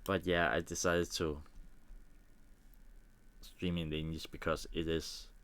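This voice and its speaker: A man from Denmark with a Danish accent and Danish as his first language, thinking aloud in halting English with small grammar slips as he walks through editing a photo on a live stream. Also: deep voice, little pitch movement, a bit bright, clear, resonant tone, no room sound. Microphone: desk microphone.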